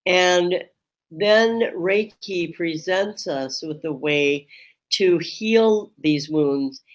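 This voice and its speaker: A woman Reiki teacher hosting a webinar, with a strong voice that carries across a room. She talks in a slow, measured way and pauses often with ums. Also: fairly low pitch. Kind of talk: reflective webinar talk. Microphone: webinar microphone.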